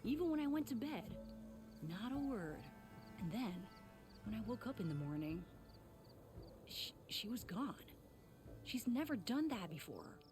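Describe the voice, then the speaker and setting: A woman's voice: a little high, very animated, unhurried, pauses often. An actress playing a worried, guilt-ridden female tinkerer in a video game, speaking in an earnest, wry, conversational way. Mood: troubled, exasperated. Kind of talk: video game character acting. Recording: faint music behind the voice.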